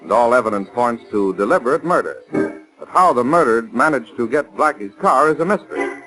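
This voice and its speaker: A man narrating dramatically and deliberately as announcer of a 1940s radio mystery. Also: mid-range voice, animated, rough recording. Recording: remastered 1940s radio recording. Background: music.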